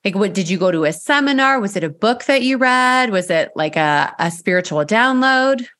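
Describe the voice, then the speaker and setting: A woman's voice: medium pitch, animated, moderate pace, a bit bright. A middle-aged woman hosting a podcast, talking in a conversational, affirming way. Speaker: podcast host. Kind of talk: casual interview talk.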